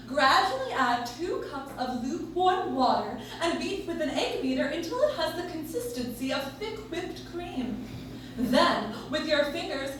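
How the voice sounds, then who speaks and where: A woman's voice: high-pitched, slight background noise. A woman delivering a scripted 1940s-style radio commercial live on stage, recorded from a distance in a very echoey room. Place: live stage in a coffee shop.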